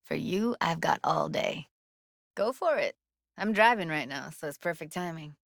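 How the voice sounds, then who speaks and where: A woman's voice: deep voice, animated, moderate pace, vocal fry, no room sound, studio quality. An actress playing a young, streetwise female techie in a video game, blunt and guarded but emotional, speaking in casual, clipped, intimate slang. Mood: relaxed. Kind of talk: naturalistic video game dialogue acting.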